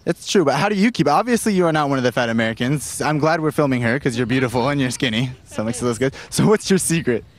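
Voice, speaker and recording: A man's voice: medium pitch, expressive, fast talker, hardly any pauses. A man working as a street interviewer, asking questions in a casual conversational style.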